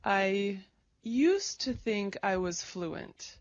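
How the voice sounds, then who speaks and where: Woman, slow pace, clear simple phrasing, dull lo-fi recording. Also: mid-range voice, expressive, no room sound. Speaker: conversation partner in English lesson. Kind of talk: slow, simple conversation for learners.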